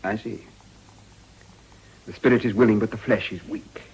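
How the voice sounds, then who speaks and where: An actor playing a suave upper-class English political gentleman, speaking formally and with insinuation in a period drama. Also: on the low side, fairly even intonation, moderate pace, breathy edge, poor audio. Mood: dry, reproving. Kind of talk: British period drama acting.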